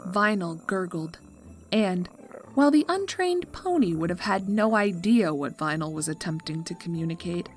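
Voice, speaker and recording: Low-voiced woman narrating a story. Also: expressive, moderate pace. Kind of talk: scripted dramatic storytelling narration. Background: music.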